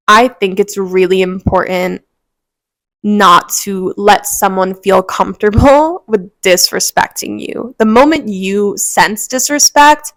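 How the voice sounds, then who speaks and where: A woman's voice: mid-range voice, creaky, fairly bright tone, dry recording. A woman hosting a motivational podcast, talking earnestly and encouragingly straight to her listeners, a little congested. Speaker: podcast host.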